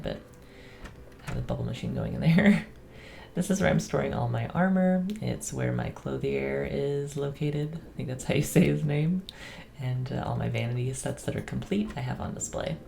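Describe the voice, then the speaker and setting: Raspy, very low-voiced woman with a cold. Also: a little hiss or hum. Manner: relaxed, chatty, with frequent ums and soft laughs. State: getting over a cold. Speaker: gaming channel host. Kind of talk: casual game world tour voice-over.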